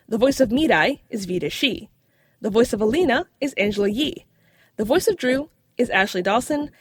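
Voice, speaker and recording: A woman's voice: on the high side, dry recording, clean studio sound. A woman speaking scripted lines as a voice actor in an audio drama.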